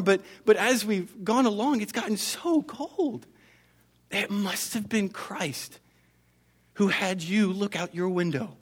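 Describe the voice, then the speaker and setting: A man voicing a poor young mother who thanks a stranger softly and slowly. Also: high-pitched, voice pushed up, heavily acted.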